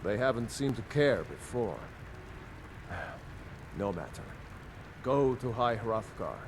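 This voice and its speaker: An actor playing a grave Nord ruler in a fantasy video game, measured and authoritative. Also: male voice, mid-range voice, very animated, noisy background. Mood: solemn.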